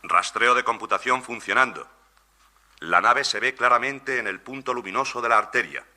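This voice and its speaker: An actor playing an alert, precise radar technician in a radio drama, giving formal status reports. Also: male voice, mid-range voice, normal speed, sharp tone. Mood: businesslike.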